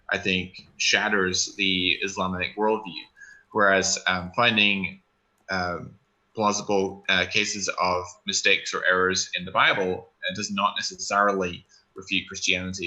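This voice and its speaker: Deep, very forceful debating man. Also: low-quality recording. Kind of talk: live online debate.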